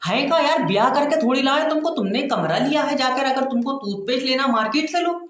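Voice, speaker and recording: A man's voice: very high-pitched, lively intonation, brisk, fairly bright tone, echoey room. A man with an Uttar Pradesh accent playing an exasperated, sarcastic service agent in a comic phone-call skit. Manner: bickering, sarcastic, colloquial. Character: exasperated, rude male collection and service agent.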